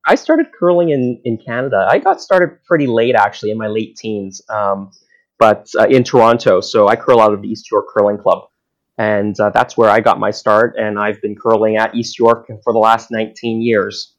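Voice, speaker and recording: A man in his thirties from Hong Kong, chatting as an interview guest. He talks in a slightly low voice, hesitant, full of fillers and restarts. The audio is dull and lo-fi. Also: moderate pace. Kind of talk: casual interview chat.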